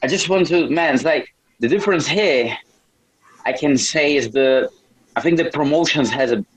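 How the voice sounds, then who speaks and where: Brazilian man in casual, halting conversation. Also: on the high side, breathy edge, dry recording, low-quality recording. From Brazil, small town in the north. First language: Portuguese. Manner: halting non-native English with repeated words. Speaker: MMA fighter, podcast guest. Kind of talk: casual interview answers.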